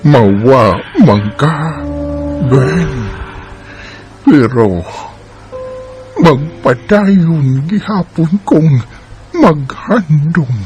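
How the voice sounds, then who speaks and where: A man acting an elderly, devoted, grieving husband in a Bisaya radio drama, with a Filipino Bisaya accent and a halting, sighing delivery. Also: on the high side, animated, darkish tone, faint music behind.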